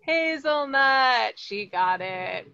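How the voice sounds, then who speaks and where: A woman's voice: high-pitched, lively intonation, very slow, vocal fry, pushed and bright, clear, resonant tone, no echo. A woman in her thirties from Los Angeles with an American accent, running an upbeat online plant lesson and asking her audience questions. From Los Angeles, California. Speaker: plant educator. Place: greenhouse, indoors. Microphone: video call, laptop or webcam. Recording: slightly dull video call audio.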